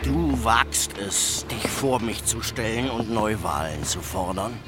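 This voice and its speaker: An actor playing a vengeful, hot-tempered pirate captain in a radio play, menacing and sneering. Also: male voice, on the low side, takes it slowly, over loud music. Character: furious, vengeful male pirate captain facing mutiny.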